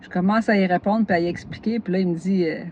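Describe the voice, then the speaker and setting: A woman's voice: medium pitch, fairly even intonation, fast talker, warm tone, dull audio, slight background noise. Tired, laughing Québécois woman. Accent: Québécois French. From northern Gaspésie, Quebec. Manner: chatty, laughs often, jumps between topics. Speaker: knitting vlogger. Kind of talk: casual chat.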